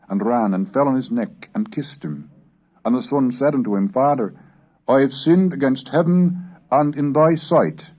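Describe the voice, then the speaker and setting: A man's voice: fairly low pitch, darkish tone, husky. Formal, oratorical man on dull tape. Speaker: lecturer and reader. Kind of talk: scripted educational narration with dramatic readings. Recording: dull lo-fi cassette recording.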